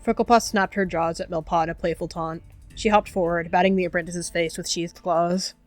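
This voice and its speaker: A woman's voice: lowish voice, fairly even intonation, a little fast, faint music behind. A woman narrating characters' actions in a descriptive roleplay game.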